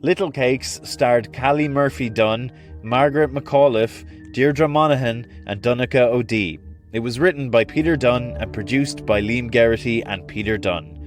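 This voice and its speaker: A man's voice: medium pitch, normal speed. A man acting as announcer, reading scripted end credits for an audio drama. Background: music.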